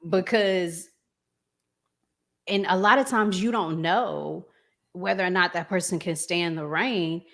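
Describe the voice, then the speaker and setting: A woman with a Southern American accent hosting a casual talk show, chatty and prone to pausing and restarting. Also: on the low side, fairly bright tone. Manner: chatty, pauses and restarts a lot. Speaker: show host. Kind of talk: casual podcast chat.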